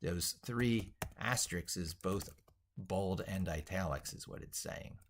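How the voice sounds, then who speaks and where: A man's voice: sits low, measured pace, gravelly, slight background noise. A middle-aged man reading his own essay aloud as he works on it, in a theatrical, wordy, emphatic way. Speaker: tech educator and video host.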